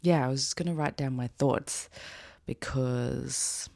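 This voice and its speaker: A woman with a raspy, hoarse voice, chatting casually in a rambling vlog with fillers and laughs. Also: very deep voice, unhurried, a little mellow, no echo. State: hoarse after an event. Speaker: knitwear designer vlogger. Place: outdoors with birds nearby.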